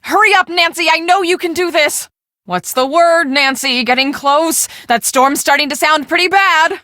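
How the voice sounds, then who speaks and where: A woman playing an earnest, take-charge storm-chasing project manager in a video game, speaking in a brisk, businesslike way. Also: high voice, sharp tone, dry recording, clean studio sound. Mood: urgent.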